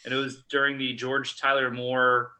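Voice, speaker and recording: Bright-voiced man hosting, halting and informal. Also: medium pitch, completely flat delivery, rough recording. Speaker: host, history blog contributor. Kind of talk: casual live-stream introduction and chat.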